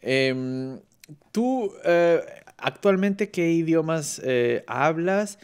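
Higher-voiced man hosting a podcast. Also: lively intonation, drawn-out words, darkish tone, clean tone. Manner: friendly, thinks aloud with many fillers. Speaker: podcast host. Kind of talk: interview podcast.

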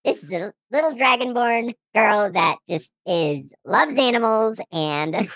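A man's voice: high-pitched, expressive, a little slow, a bit bright, no room sound, pitched above the performer's own voice, exaggerated voice. A man voicing a young dragonborn girl for a tabletop game, speaking through a voice changer that makes him sound feminine. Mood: light, chatty.

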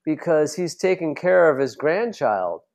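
A man's voice: a little high, sing-song, a little slow, a little mellow. A middle-aged man bantering casually as a podcast co-host.

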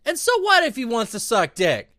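A man's voice: very high voice, wildly swooping pitch, moderate pace, sharp tone, dry recording. A man co-hosting a podcast, reading out listener comments and adding dry wisecracks. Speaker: podcast co-host. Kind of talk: casual advice chat.

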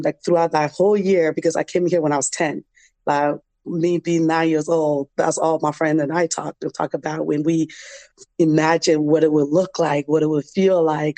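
A woman's voice: deep voice, warm tone, dry recording. A young adult woman, telling personal stories in a reflective, conversational interview style. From Liberian, grew up in a refugee camp in West Africa. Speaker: interview guest, dance program founder.

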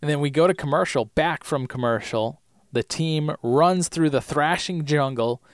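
A man's voice: a little high, normal speed, no echo, studio quality. A man co-hosting a podcast, recapping scenes in a casual, chatty way, laughing often and saying his voice is going. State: losing his voice. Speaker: podcast co-host.

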